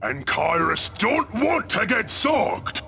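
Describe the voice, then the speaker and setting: A man playing a brash, belligerent ork warboss in a video game cutscene, with a gravelly, harsh Cockney voice and crude bellowing grammar. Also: high-pitched, big pitch swings, slow, sharp tone, raised voice, slight background noise, full character voice. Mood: exasperated. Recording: game music under the voice.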